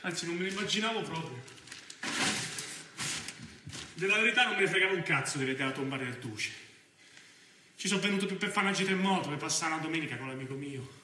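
An actor playing a restless, foul-mouthed young fugitive in a theatrical monologue, with a Roman accent and a colloquial manner. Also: male voice, a little high, rapid-fire. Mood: reflective. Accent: Roman Italian. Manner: colloquial, swearing, swinging from brooding to excited play-acting. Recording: roomy, distant mic with faint noise.

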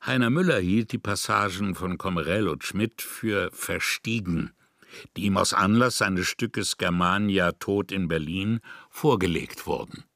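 A man with a low voice reads literary essay prose. He is measured and formal, and the sound is dry, with no room around it. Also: fairly bright tone, no echo. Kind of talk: scripted literary essay narration.

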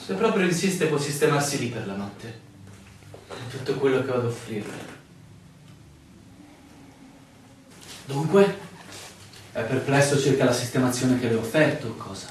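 Un attore che interpreta in un radiodramma un locandiere burbero e inospitale, brusco, impaziente e pronto a rimproverare. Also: male voice, mid-range voice, expressive, moderate pace, lightly acted. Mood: grudging, impatient. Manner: brusque, scolding. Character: gruff, inhospitable male innkeeper, grudging and impatient. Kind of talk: theatrical radio drama acting. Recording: echoing room, distant mic, faint noise.

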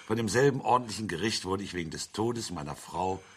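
Very low, husky, shrewd claimant. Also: male voice, animated, a little fast. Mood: argumentative, precise. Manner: articulate, legalistic, pointed. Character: shrewd, insistent widower pressing a dubious insurance claim. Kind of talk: German radio drama acting.

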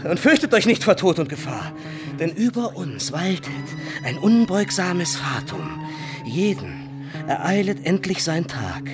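A man's voice: sits high, big pitch swings, measured pace. An actor playing a betrayed, embittered young nobleman turned robber captain in a German radio play, impassioned and declamatory. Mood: solemn, defiant. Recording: dramatic music under the voice. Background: music.

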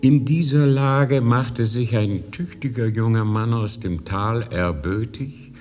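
A man playing an ancient, fatherly high lama in a radio drama, speaking in a solemn, deliberate storytelling manner. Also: darkish tone, soft background music, lightly acted. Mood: calm, explanatory. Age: older. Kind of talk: 1980s German radio drama acting. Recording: long reverberant hall.

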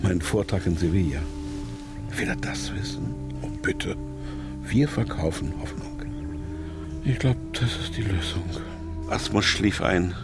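A anxious captive businessman with a fairly low voice, holding himself together. He gives inner monologue and narration in a radio thriller over music. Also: animated, a little slow, pauses often. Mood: desperate, searching. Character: anxious captive businessman trying to stay composed.

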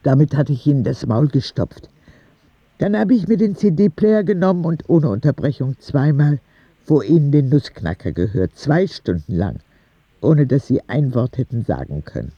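A man narrating a radio drama in the first person as a cheeky boy, soft and husky. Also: mid-range voice, sing-song, moderate pace, no echo, dull audio. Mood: resentful, deadpan. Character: cheeky ten-year-old boy narrating his own story.

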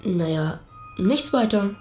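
A woman's voice: mid-range voice, lively intonation, slow, darkish tone, soft background music. A woman playing a pastor's young son, a hesitant and literal witness giving terse answers in a radio play. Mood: reluctant, factual.

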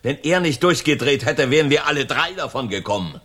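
A hard-nosed male crook in a radio crime drama, with a husky voice that rises and falls widely. He argues precisely and emphatically, like someone laying down the rules, cold and calculating. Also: medium pitch, animated, fairly quick, a bit bright. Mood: insistent, heated.

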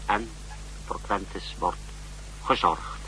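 A man's voice: low-pitched, slow pace, sharp tone, heavily acted. A man acting a deferential, defensive secret-service official in a 1960s radio drama, with formal, obsequious manners. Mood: defensive, assured. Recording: noisy, humming old radio recording.

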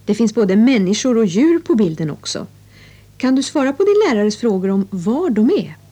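A woman's voice: fairly high pitch, sing-song, warm tone. A woman presenting a children's English lesson with scripted narration and instructions.

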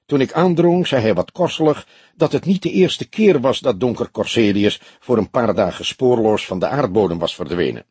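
A man's voice: mid-range voice, animated, normal speed, a little mellow, husky, no room sound. A man voicing an earnest young male detective, a questioning and slightly wry junior partner in an audiobook.

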